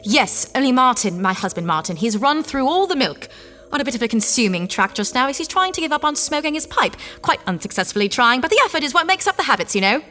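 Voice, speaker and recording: A woman's voice: sits high, very animated, fast talker, pushed and bright, big-room sound, soft background music, full character voice. Woman doing a plummy, unflappable space lady. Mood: breezy. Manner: plummy, breezy. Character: posh cheerful spacefaring wife, brisk and unflappable. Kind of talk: audio drama acting.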